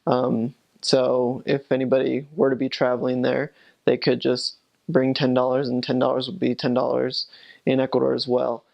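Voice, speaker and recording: A man's voice: mid-range voice, completely flat delivery, normal speed, gravelly, a little mellow, dull audio. A young man, a returned missionary, recalling his mission in a rambling, casual way with many fillers and restarts. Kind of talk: casual recollection.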